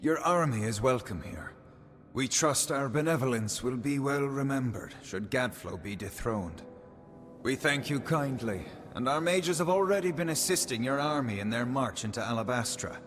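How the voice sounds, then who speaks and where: A man's voice: mid-range voice, lively intonation, moderate pace, close to an everyday voice. An actor playing a haughty aristocratic fae spokesman in a fantasy video game, formal, flowery and condescending. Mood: pompous, obliging. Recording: reverberant hall under loud music.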